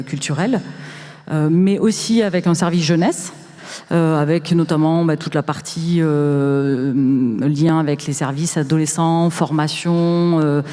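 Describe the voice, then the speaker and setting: A woman's voice: fairly low pitch, warm tone. A woman giving spontaneous panel testimony, hesitant and often searching for words. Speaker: panelist from a cultural organisation. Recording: reverberant hall sound.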